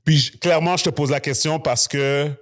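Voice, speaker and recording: A man's voice: on the high side, fairly even intonation, brisk. A man, a podcast host talking loosely and chattily, dropping in English slang. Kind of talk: casual conversation.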